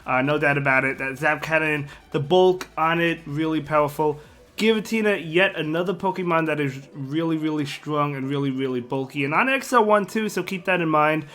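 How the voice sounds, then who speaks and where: A sick man with a hoarse voice hosting a casual game strategy video, rambling with lots of fillers and repeated words. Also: a little high, brisk, fairly bright tone. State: sick, partly lost voice. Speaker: gaming channel host.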